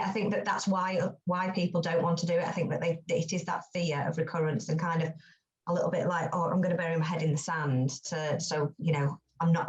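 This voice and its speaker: A woman in her thirties with a fairly low voice, talking in a thoughtful, unhurried way and often restarting her sentences. The sound is dull and muffled, as if recorded on a lo-fi mic. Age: 30s. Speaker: round table guest. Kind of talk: casual discussion.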